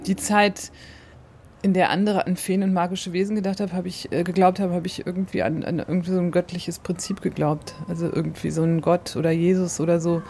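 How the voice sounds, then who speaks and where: A woman with a fairly low voice shares personal recollections in a casual way. She speaks haltingly and keeps rephrasing, with faint noise in the background. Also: flat delivery, gravelly, warm tone. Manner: halting, self-correcting, filler-heavy, chuckling now and then.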